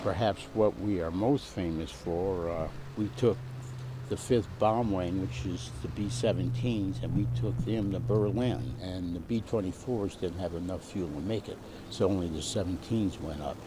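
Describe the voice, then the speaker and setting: A man's voice: deep voice, normal speed. An elderly man in his eighties or older, a veteran pilot reminiscing in an interview. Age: 80s or older. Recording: noisy outdoor location interview.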